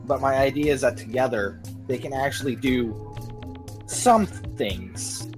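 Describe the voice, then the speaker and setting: A man's voice: medium pitch, unhurried. Brief: young male podcast host, goofy and self-deprecating, faint music behind. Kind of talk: casual comedic podcast chat.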